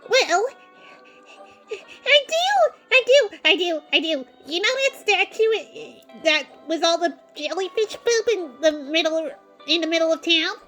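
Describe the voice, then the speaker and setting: Woman acting as cartoon sponge boy, excitable, eager, stammering, very high voice, music behind. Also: measured pace, exaggerated voice. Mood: eager, excited. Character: excitable, eager young male sponge fry cook, cartoon.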